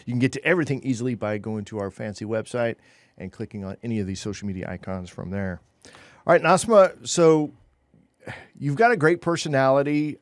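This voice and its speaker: A man hosts a business interview podcast with a friendly, conversational flow and casual fillers. His pitch sits in the middle range. The recording is dry and close in a studio. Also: no echo. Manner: upbeat, conversational, fillers like "it's like". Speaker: podcast host.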